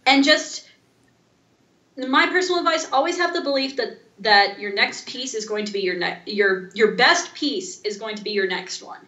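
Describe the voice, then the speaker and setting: Female podcast co-host, higher-pitched bright voice, casual conversational delivery, roomy echoing recording. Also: normal speed, muffled recording. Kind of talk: casual chat.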